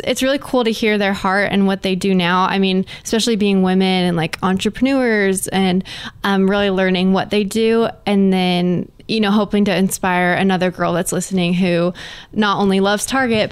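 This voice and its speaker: A woman's voice: medium pitch, fairly even intonation, moderate pace, clear, resonant tone. A young woman talking casually and chattily as a guest on a lifestyle podcast. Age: young adult. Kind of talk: casual interview chat.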